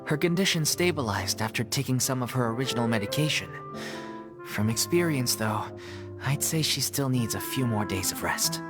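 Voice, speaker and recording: A blunt, dry-witted troupe member in a fantasy game. Her voice is extremely low for a woman, yet it moves a lot as she teases. Faint music runs underneath. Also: animated, normal speed. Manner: teasing, matter-of-fact delivery. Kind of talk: video game voice acting.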